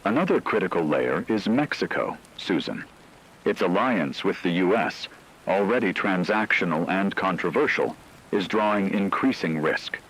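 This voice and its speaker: A man playing a grave radio news anchor, delivering a scripted emergency war broadcast in a measured, solemn, analytical manner. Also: sits low, animated, fairly bright tone, husky, heavily acted, low-quality recording. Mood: grave, urgent.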